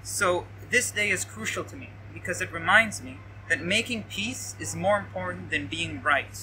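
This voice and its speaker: A teenage male high school student giving a prepared personal reflection speech, recorded outdoors on a camera a few steps away. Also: expressive, moderate pace. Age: teens. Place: outdoors by a leafy hedge. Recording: bright outdoor recording with faint noise.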